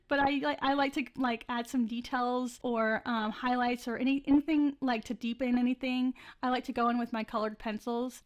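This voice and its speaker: A young woman narrating her drawing process in a casual, chatty way, her voice a bit scratchy from the flu. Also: fairly high pitch. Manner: chatty, many likes and restarts, laughs often. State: scratchy voice, getting over flu. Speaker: art channel host.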